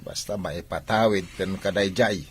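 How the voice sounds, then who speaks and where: A man's voice: sits low, steady tone, normal speed, breathy edge. A Filipino actor playing an older father, a hesitant, conspiring advisor in a radio soap opera. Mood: musing.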